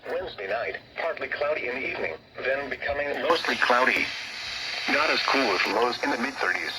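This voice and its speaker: A man's automated announcer voice reading a scripted weather forecast in short clipped phrases, raspy through a small radio speaker. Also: mid-range voice, lively intonation, a little fast, a loud crowd, poor audio.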